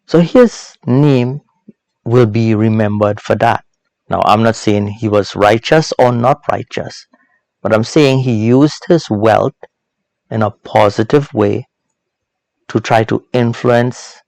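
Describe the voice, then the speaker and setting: A man with a creaky, gravelly voice of middling pitch teaches in an informal sermon style. He speaks deliberately and leans on repetition for emphasis. The sound is dry and close, with very little room. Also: a little mellow, no room sound, low-quality recording. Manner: deliberate, emphatic, with repeated phrases and rhetorical questions. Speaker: host of a Bible proverbs teaching series.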